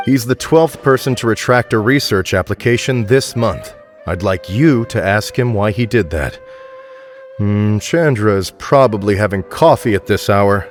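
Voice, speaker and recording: A man voicing a formal, stern but fair administrator in a game, polite and businesslike. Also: fairly low pitch. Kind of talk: game voice acting.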